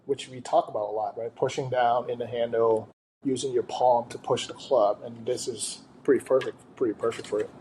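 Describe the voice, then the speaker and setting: A man's voice: mid-range voice, fairly even intonation, fairly quick, warm tone, low-quality recording. Man, casual coach, faint noise. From Thailand. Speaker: golf coach, podcast guest. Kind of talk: casual interview chat.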